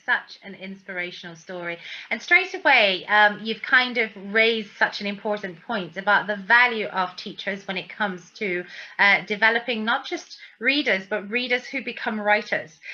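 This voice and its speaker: A woman's voice: mid-range voice, bright, forceful tone. A woman hosting an author interview in an effusive, complimentary, conversational way. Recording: slightly dull, lo-fi online call audio.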